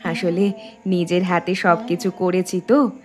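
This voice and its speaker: Gentle village housewife, acted by a woman, over music. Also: fairly low pitch, little pitch movement, unhurried, lightly acted. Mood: pensive. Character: gentle hardworking village housewife, caring elder sister-in-law. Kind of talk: cartoon dialogue acting. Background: background music.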